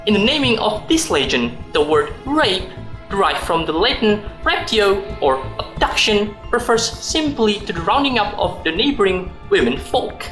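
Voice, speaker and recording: A man's voice: high-pitched, big pitch swings, moderate pace, a bit bright. An actor playing a pedantic, defensive male employee arguing his case in a radio drama. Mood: pedantic, explaining. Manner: pedantic, argumentative. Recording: roomy echo, music underneath.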